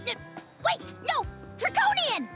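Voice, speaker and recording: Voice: very high-pitched, huge pitch swings, slow, music in the background, heavily acted. An actor voicing a small, pompous, irate dragon lord in a video game, haughty, bombastic and indignant. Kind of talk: scripted video game voice acting.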